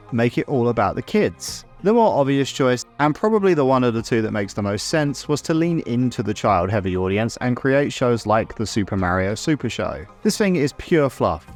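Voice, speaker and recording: A man narrating a scripted video essay in a conversational, opinionated way, with casual asides. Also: medium pitch. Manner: conversational, opinionated, mildly sweary.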